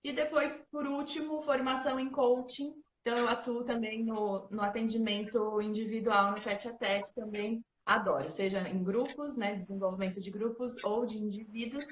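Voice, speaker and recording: Chatty São Paulo woman, thirties, slightly high voice, echoey room. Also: steady tone, moderate pace, few pauses. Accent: São Paulo Portuguese. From São Paulo, Brazil. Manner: chatty, many fillers like "né" and "então". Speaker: host and facilitator. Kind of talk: casual presentation talk.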